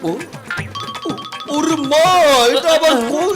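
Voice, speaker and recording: High-pitched blustering cartoon policeman. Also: flat delivery, slow pace, over loud music. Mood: panicked, pleading. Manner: pompous, repetitive. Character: blustering, self-important cartoon policeman, easily flustered. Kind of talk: exaggerated children's cartoon dub.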